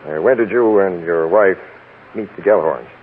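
An actor playing a brusque, methodical police captain questioning people in an old-time radio crime drama. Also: male voice, low-pitched, normal speed, breathy edge. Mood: businesslike. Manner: probing, hesitant uhs, curt. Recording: noisy, narrow-band old radio recording.

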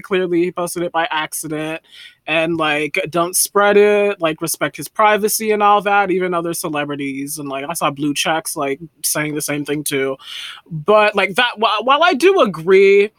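A man with a high, bright voice co-hosts a casual podcast. He rambles through his stories with plenty of "um" and "like". The sound is dry and close. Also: moderate pace, no echo. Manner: chatty, rambling with many fillers. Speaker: podcast co-host.

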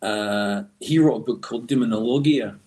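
A man talking as a guest artist on a podcast, telling stories in a halting, reflective, discursive way. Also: mid-range voice, darkish tone. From Scotland. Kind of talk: conversational storytelling in a podcast interview.

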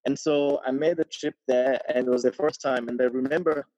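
A reflective man in his thirties, from Burundi, talks through his story in an unhurried interview way, full of ums, restarts and small laughs. His voice sits at an ordinary middle pitch. The recording is dry and a little dull, with a lo-fi edge. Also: steady tone, dark tone, dry recording. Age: 30s. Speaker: podcast guest. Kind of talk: casual interview conversation.

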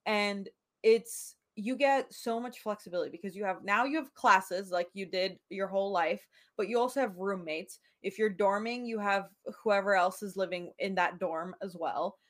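A woman's voice: mid-range voice, moderate pace. A young woman hosts a casual podcast conversation. She is chatty and talks in a medium voice. She tells her stories by acting out bits of dialogue. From United States. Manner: chatty storyteller, acts out quoted dialogue. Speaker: podcast host. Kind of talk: casual chat.

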